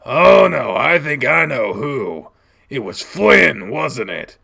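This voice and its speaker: Man playing a stern, forceful, scolding animal father. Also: medium pitch, animated, normal speed, gravelly, heavily acted. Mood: accusing.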